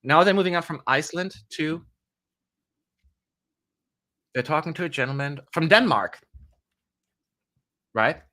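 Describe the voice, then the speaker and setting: A man's voice: fairly high pitch, measured pace, long pauses, sharp tone. A young man hosting a live stream, chatting casually with playful asides and jokes. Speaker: livestream host.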